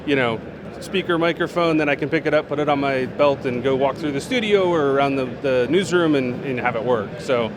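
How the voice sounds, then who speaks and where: A man who works as a product manager, answering interview questions on a trade show floor in a relaxed way, with plenty of fillers and restarts. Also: mid-range voice, brisk, crowd noise.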